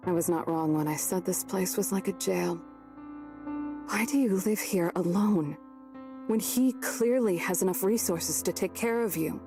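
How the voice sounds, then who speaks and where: A woman's voice: on the low side, a little slow, over music. A woman voicing a reserved, mysterious young maiden in a visual novel, formal and coolly direct in her questions. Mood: cool, probing.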